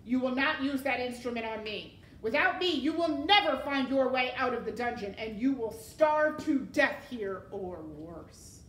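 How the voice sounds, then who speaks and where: A woman's voice: medium pitch, measured pace, fairly bright tone, roomy sound. A woman voicing a cruel, scornful male rat who gives orders and pompous formal threats in a storybook reading. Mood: coldly menacing.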